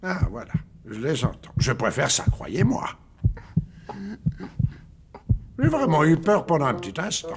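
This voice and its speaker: A man's voice: fairly high pitch, wildly swooping pitch, fairly quick, a little hiss or hum. A man voicing a polite, bewildered doctor in a cartoon, with a theatrical, puzzled delivery. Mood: relieved. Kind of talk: French cartoon dub acting.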